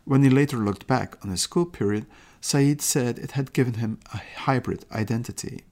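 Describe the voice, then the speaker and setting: A man giving a lecture-style podcast talk, explaining at a measured pace with occasional restarts and quoted passages. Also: medium pitch, a little mellow.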